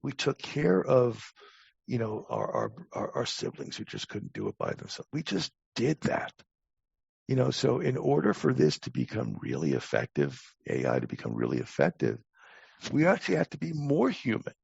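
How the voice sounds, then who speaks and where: An older man answers interview questions with a deeply creaky, rough-edged voice. He is blunt and emphatic, doubling back on words as he goes. The recording is dry and somewhat muffled. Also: huge pitch swings, normal speed, a little mellow, no room sound. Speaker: podcast guest, talent acquisition advisor. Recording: dull, muffled lo-fi audio.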